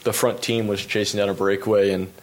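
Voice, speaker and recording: A young American man, a pro bike racer, telling stories about his races while showing slides, relaxed and full of fillers, laughing at himself now and then. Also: lowish voice, fast. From United States. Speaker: pro cyclist giving a talk. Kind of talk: storytelling presentation with slides.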